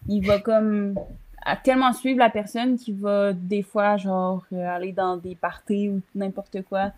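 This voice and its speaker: A woman's voice: mid-range voice, steady tone, measured pace. A woman in her twenties with a Québécois French accent, chatting casually on a video call from a room at home. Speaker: talk show panelist. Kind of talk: casual chat. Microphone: computer mic on a video call.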